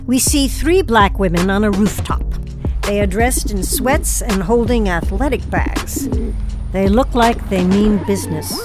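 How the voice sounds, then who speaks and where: A woman's voice: medium pitch, unhurried. A woman reading a scripted podcast introduction and stage directions as announcer and narrator. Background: music.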